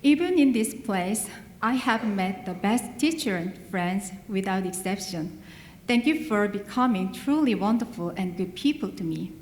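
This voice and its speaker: A woman's voice: medium pitch, expressive, moderate pace, darkish tone. A woman, an adult English learner whose first language is not English, giving an earnest graduation speech with small grammar slips. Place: large hall. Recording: echoey hall with long reverb.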